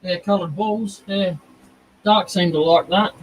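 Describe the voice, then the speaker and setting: A man with a high-pitched voice jokes around and laughs easily. He talks casually about what he has been making, with faint background noise and clicks behind him. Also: fairly even intonation, measured pace, low-quality recording. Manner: jokey, laughs often, shows off his work. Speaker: woodworker guest. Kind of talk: casual chat.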